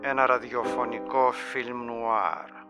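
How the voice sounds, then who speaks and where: A man's voice: mid-range voice, flat delivery. A man announcing the title of a radio drama in a scripted style. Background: piano music.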